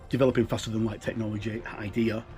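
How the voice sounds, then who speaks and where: A middle-aged man giving a casual, halting, explanatory commentary as he looks through a tabletop game book. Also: on the low side, little pitch movement, a little mellow, soft background music.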